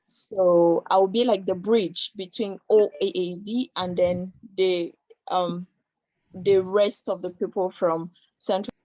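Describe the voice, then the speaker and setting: A woman's voice: fairly low pitch, fairly even intonation, takes it slowly, a little mellow, no echo, dull audio. A young woman on a video call introducing herself as an election candidate, speaking with hesitant fillers. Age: young adult. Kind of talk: campaign self-introduction.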